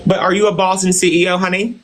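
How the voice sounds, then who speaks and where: A man's voice: high voice, flat delivery, racing, soft background music, natural voice. A man playing a haughty self-styled boss and CEO on a live stream, talking down to his guest and calling her "dear" and "honey". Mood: smug. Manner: condescending, calls others "dear" and "honey". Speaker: livestream host in character. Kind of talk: improvised live-stream roleplay.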